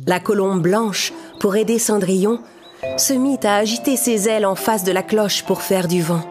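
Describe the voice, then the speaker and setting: A woman's voice: medium pitch, moderate pace, music in the background. A woman narrator reading a scripted children's fairy tale.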